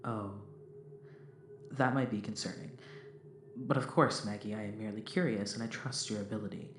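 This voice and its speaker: A man playing a calm, polite robot crew member in a science fiction audio drama, speaking formally and deliberately. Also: on the low side, lightly acted. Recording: roomy echo, faint noise.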